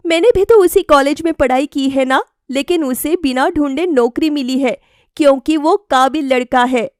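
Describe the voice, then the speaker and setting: A woman voicing a lively, stubborn young college woman in a cartoon story, expressive and insistent. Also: high-pitched, moderate pace, dry recording, studio quality, voice pushed up, lightly acted. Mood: upset pleading.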